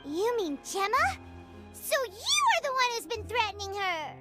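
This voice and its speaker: A woman voicing a tiny, curious and bold childlike fairy companion in a video game, squeaky and earnest. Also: huge pitch swings, measured pace, exaggerated voice. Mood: indignant, defiant. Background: background music.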